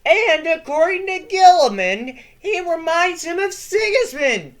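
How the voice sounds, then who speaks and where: This young man narrates lore from a script in a relaxed, slow-paced way. He gets excitable and swears freely. He often breaks into loud yelling when a story grips him. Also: extremely high pitch. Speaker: lore video host.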